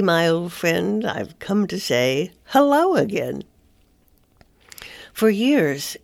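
Woman, columnist, reading aloud, wry, self-mocking, lively rising and falling voice, dry close sound. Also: on the low side, no room sound. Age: older. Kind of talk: reading a humorous personal column.

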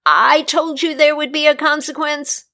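A woman voicing Godmother Death, an old, grave female spirit who speaks slowly and sternly. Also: sits high, steady tone, pushed and bright, pitched above the performer's own voice.

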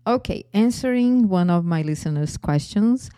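A woman's voice: mid-range voice, lively intonation, moderate pace, dark tone, no echo. A woman in her forties with a Brazilian accent, hosting her own podcast and telling her life story with frequent light laughs. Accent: Brazilian Portuguese. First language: Portuguese. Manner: laughs often between phrases. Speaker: podcast host.

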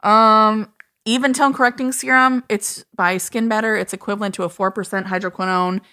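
A woman's voice: mid-range voice, steady tone, normal speed, pushed and bright. A woman co-hosting a casual podcast, chatting and explaining skincare products in detail with lots of fillers. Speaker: podcast co-host and skincare professional.

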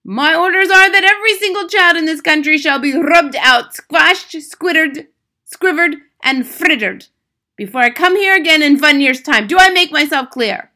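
Storybook grand witch, screechy high and loud, bossy, cruel, furious, drags out words for emphasis. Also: expressive, full character voice. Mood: screeching fury. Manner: screaming, imperious, slow drawn-out emphatic words. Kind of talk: dramatic storybook character voice.

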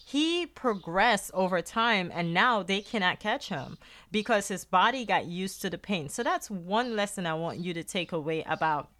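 A woman's voice: medium pitch, expressive, moderate pace, a bit bright. A middle-aged woman from Guyana with a Guyanese accent, speaking earnestly in a faith-based podcast monologue. She often repeats words as she restarts a phrase. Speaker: podcast host.

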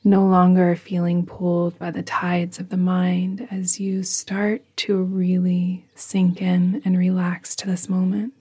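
A woman guiding a yoga nidra meditation in a soft, soothing, hushed voice that often trails into a breathy whisper. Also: on the low side, completely flat delivery, slow pace, hardly any pauses. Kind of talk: guided meditation, slow and soothing.

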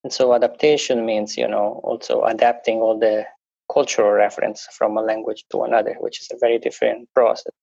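A man's voice: fairly low pitch, flat delivery, fairly quick, creaky, dark tone, husky, dry recording. A man speaking English as a second language, talking through a podcast conversation with many restarts and fillers. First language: not English. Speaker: guest. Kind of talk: conversational interview talk. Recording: dull, dry lo-fi sound.

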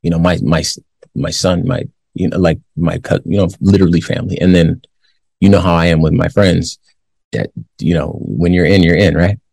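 Man in his 40s, very low gravelly voice, dry close mic, measured commentary with pauses. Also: fairly even intonation, darkish tone, dry recording. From Los Angeles area. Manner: measured, thoughtful, with many pauses and fillers. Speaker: show host. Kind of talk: commentary, reading news aloud then giving opinion.

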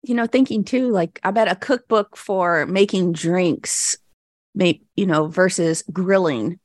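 A woman hosts a podcast interview in a friendly, affirming tone. Her pitch sits in the middle. The studio sound is dry and close. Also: unhurried, a little mellow, no room sound. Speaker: podcast host. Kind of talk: hosting an interview.

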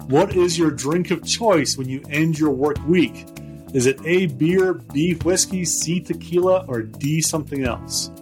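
A man's voice: fairly high pitch, unhurried, darkish tone. Man hosting an interview, music behind. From Scotland. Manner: friendly, conversational, reads poll options aloud. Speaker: podcast host. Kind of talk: casual interview.

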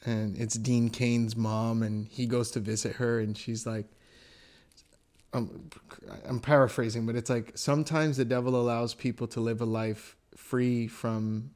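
A man's voice: medium pitch, level tone, measured pace, darkish tone. Young male co-host, blunt and impassioned, mid-range voice, casual podcast chat. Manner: blunt, impassioned, restarts phrases.

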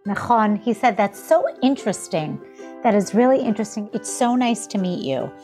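A woman's voice: mid-range voice, expressive, a little fast, dark tone, soft background music. A woman teacher on a video call who translates a puppet's Hebrew for children in a helpful manner. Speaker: teacher and interpreter. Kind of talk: translating for a puppet in a kids' lesson.

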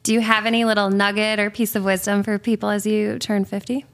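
A woman on the host's team asks rapid-fire questions in a brisk manner, as if reading them off a list. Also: mid-range voice, little pitch movement. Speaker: team member asking questions.

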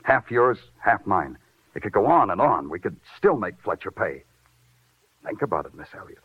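An actor playing a sardonic, hard-boiled police lieutenant in a noir radio drama, terse and wry. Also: male voice, lowish voice, big pitch swings, moderate pace, slightly rough, no echo, phone-quality sound. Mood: tough, insistent. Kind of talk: 1940s radio noir acting. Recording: old band-limited radio recording with music.

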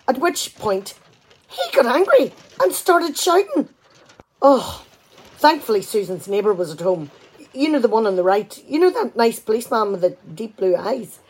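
An actress playing an exasperated, sarcastic wife in a radio play comedy, talkative and full of indignant questions. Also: female voice, fairly high pitch, a little mellow, breathy edge, rough recording. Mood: exasperated, chatty.

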